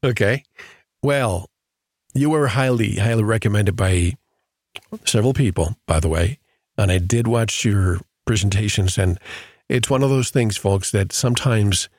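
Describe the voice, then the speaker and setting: A lower-pitched man hosts a radio interview show, speaking in a measured, earnest manner. He moves from a scripted introduction into inviting questions for his guest. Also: a little mellow, no echo, studio quality.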